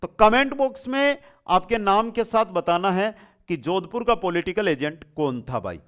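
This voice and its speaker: A man's voice: high-pitched, expressive, normal speed, a bit bright. A man teaching an exam lesson, posing questions, repeating the answers and addressing students familiarly. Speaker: online exam coaching teacher. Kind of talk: quiz-style lesson, asking questions and giving answers.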